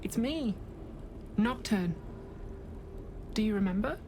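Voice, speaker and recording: An actress playing a gentle, wistful young acolyte in a video game, hesitant and deferential toward an old friend. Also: female voice, medium pitch, animated, slow pace, background noise. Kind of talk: dramatic video game acting.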